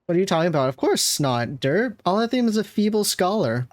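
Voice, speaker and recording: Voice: mid-range voice, sing-song, fairly quick, a crowd in the background. A game streamer giving casual live commentary, with chatty asides to viewers and to the characters on screen.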